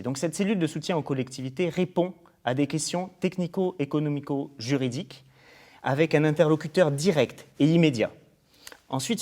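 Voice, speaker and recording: Man, slightly high pitch, formal, explanatory, enumerating points, interview presentation. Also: darkish tone. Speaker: programme coordinator being interviewed.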